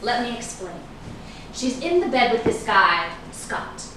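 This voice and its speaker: Echoey, distant actress as a guarded, high-voiced woman. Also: very animated, a little slow. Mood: intent, storytelling. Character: guarded, ambitious actress fending off an old flame. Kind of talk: staged reading of a stage drama. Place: echoey indoor room at a staged reading. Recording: echoey room, distant mic, noisy.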